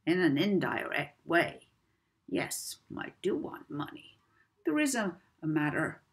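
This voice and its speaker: Woman as male blackmailer, children's audiobook: very breathy, unhurried, lazy menace, soft-spoken. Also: low voice, very animated, full character voice. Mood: sly, amused. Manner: soft-spoken, lazy drawl with deliberate pauses. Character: sinister, manipulative male blackmailer, smooth and menacing.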